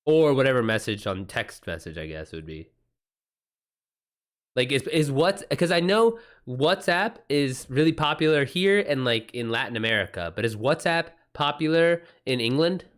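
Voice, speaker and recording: A man talks casually as he hosts a live stream, reading and reacting to his chat. His mid-range voice moves up and down in a very lively way. He is informal, exclamatory and quick to swear. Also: medium pitch.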